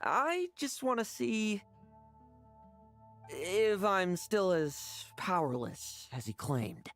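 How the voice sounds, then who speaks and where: A woman's voice: mid-range voice, very animated, slow, soft background music. A woman playing a defiant, battle-hardened video game fighter who taunts and broods with dramatic, cocky delivery. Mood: brooding.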